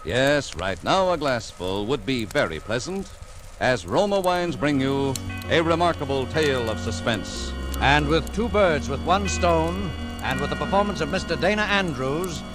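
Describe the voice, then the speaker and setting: An American man announcing for a 1940s radio sponsor in a measured, suave, scripted sales delivery. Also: on the high side, animated. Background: music.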